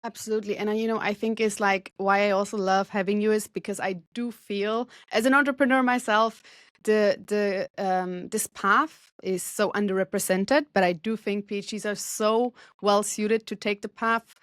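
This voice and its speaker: A woman with a medium-pitched voice hosts a podcast interview. She talks conversationally and affirms her guest as she leads into questions. The recording is dry, with hardly any room sound. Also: steady tone, normal speed, no room sound. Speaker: podcast host, entrepreneur.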